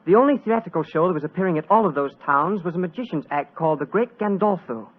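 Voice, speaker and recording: A man's voice: high voice, lively intonation, fast talker. An actor playing an earnest, deferential young British government man in a 1940s radio drama, polite and eager. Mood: earnest, hesitant. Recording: old muffled 1940s radio recording.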